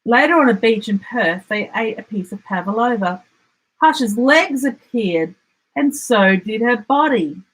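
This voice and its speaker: Children's storyteller: Australian woman, slightly husky, slow, expressive, dramatic emphasis. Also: fairly high pitch, poor audio. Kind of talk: children's picture book read-aloud.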